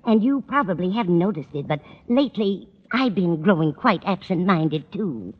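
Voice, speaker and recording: A woman's voice: lowish voice, animated, moderate pace, husky. A woman playing an absent-minded, earnest and easily muddled landlady, in 1940s radio sitcom style. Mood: earnest, scatty. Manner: vague, earnest. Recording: dull, reverberant old radio recording.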